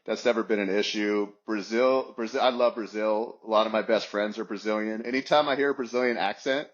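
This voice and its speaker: A man in his forties with a fairly low voice answers interview questions in a loose, rambling way, full of ums and restarts. The audio is muffled and lo-fi. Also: steady tone, brisk. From United States. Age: 40s. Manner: rambling, many fillers and restarts. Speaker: guest. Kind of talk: casual interview talk.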